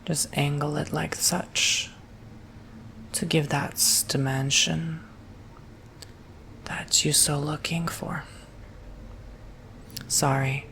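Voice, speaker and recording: Slow, gravelly whispering man. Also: a little high, a little hiss or hum, poor audio. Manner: soft whispering, slow and gentle. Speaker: ASMR roleplay host. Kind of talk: whispered ASMR roleplay.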